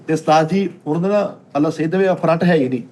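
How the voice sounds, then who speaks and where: Rapid-fire higher-voiced Pakistani Punjabi man. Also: darkish tone. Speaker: comedy show panellist. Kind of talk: animated comic storytelling in casual chat.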